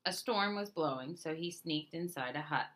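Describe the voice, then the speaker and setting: A woman reading a children's storybook aloud in an expressive, emphatic way. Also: low-pitched, normal speed. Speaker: storybook reader.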